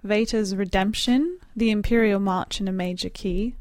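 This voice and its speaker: A woman's voice: mid-range voice, normal speed, darkish tone, no room sound, clean studio sound, close to an everyday voice. A woman putting on a British accent while co-hosting a podcast and reading listener messages in a composed manner. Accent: put-on British.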